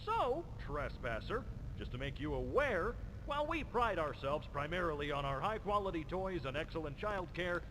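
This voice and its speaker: Smug male corporate executive, horror game tape. Higher-pitched, very animated, theatrically polite salesman, slight noise behind. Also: moderate pace, rough recording. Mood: smugly welcoming. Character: smug, cheery male toy factory innovation chief. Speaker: toy company executive in a taped warning. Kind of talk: scripted recorded announcement in a horror game.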